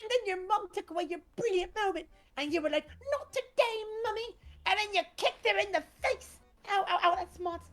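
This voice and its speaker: A woman voicing a cheeky young friend, excited and teasing. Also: extremely high pitch, a little fast, hardly any pauses, pitched above the performer's own voice.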